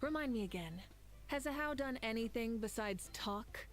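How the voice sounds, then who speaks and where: A woman voicing a calm, confident leader who speaks in an assured, reassuring way in a game cutscene. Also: on the high side, normal speed, faint music behind, lightly acted. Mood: dry.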